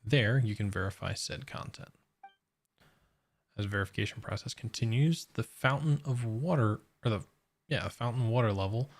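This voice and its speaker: A man's voice: lowish voice, creaky. A man livestreaming a game, commenting casually and reading on-screen text aloud.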